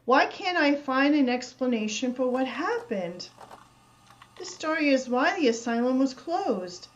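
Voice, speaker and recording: Woman playing a high-voiced, curious researcher. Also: animated, lo-fi sound. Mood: puzzled, intrigued. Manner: musing aloud, deliberate. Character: curious, determined female researcher investigating a mystery. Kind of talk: horror radio drama acting.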